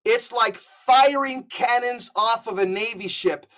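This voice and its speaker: A man's voice: very high voice, unhurried, bright, forceful tone. A man talking as a guest on a podcast, in a fervent, emphatic way with frequent restarts. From United States. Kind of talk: conversational podcast talk.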